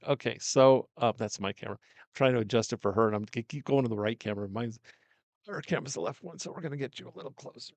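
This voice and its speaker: An older man hosting a casual interview in a small studio, talking loudly and coaxingly into a directional mic. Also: mid-range voice, fast talker, vocal fry, dry recording. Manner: loud, coaxing, chatty. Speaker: host.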